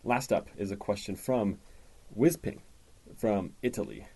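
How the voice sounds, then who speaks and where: A man's voice: fairly low pitch, measured pace, husky. A man hosting a podcast, chatting casually and reading out listener questions. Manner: relaxed and chatty, reads listener mail aloud. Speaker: podcast host.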